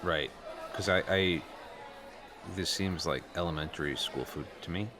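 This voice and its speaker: Very deep, hesitant teenage new kid. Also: male voice, bright, forceful tone, faint music behind. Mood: hesitant, awkward. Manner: hesitant, halting, understated. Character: self-doubting teenage boy, new transfer student at school. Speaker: improv comedy performer. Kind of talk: improvised comedy dialogue, naturalistic casual chat. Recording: noisy room ambience.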